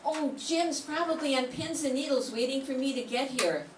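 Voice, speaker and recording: A chatty, cheerful young wife, voiced by an older woman, high-pitched and bright. She is heard from afar in an echoey room with a faint hiss. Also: normal speed, natural voice. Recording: roomy classroom, far from mic, faint hiss.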